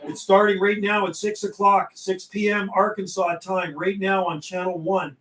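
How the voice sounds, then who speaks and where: A man's voice: sits high, level tone, moderate pace, a bit bright, reverberant, distant mic. A middle-aged man chatting casually over CB radio on a livestream, folksy and given to repeating himself. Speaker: CB radio operator and livestream host.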